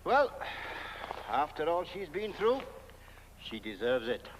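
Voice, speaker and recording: Musing local man, very varied pitch. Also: very animated, takes it slowly, heavy background noise. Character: kindly local man chatting to his cat. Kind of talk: film acting.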